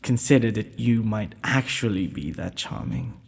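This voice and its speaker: Gravelly, shy young prince in echoing hall man acting. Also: fairly low pitch, lively intonation, moderate pace, exaggerated voice. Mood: bashful, charmed. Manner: soft-spoken formal courtly phrasing, hesitant. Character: shy, self-doubting, tender young prince in arranged marriage. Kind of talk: romantic audio roleplay acting. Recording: long hall-like reverb.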